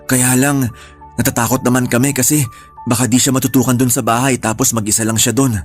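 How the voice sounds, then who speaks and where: An actor playing a worried, devoted young son in a radio drama, with an earnest, troubled way of talking. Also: male voice, mid-range voice, flat delivery, brisk, faint music behind, close to an everyday voice.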